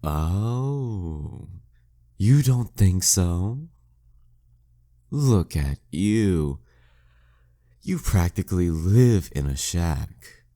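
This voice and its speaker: Man acting a very deep, slow, teasing demon king. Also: lively intonation, warm tone, exaggerated voice. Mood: taunting, condescending. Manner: teasing, smug, slow deliberate delivery. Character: flirty, dominant, taunting demon king villain. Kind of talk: intimate scripted audio roleplay monologue.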